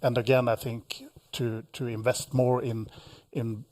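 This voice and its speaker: A man speaking as a panelist at a seminar, halting with frequent fillers and repeated words. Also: mid-range voice, flat delivery, a little mellow. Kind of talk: panel discussion.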